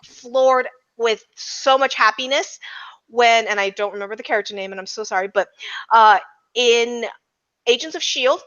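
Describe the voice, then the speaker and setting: Bright-voiced woman on a lo-fi podcast. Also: on the high side, moderate pace. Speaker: podcast panelist. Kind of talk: casual roundtable film discussion. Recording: slightly dull, lo-fi audio.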